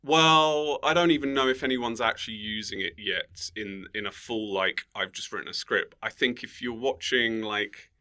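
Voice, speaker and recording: A man's voice: mid-range voice, normal speed, few pauses, bright, forceful tone, clear, resonant tone. A British man speaking as a comedy podcast guest, casual and conversational with a dry, tongue-in-cheek manner.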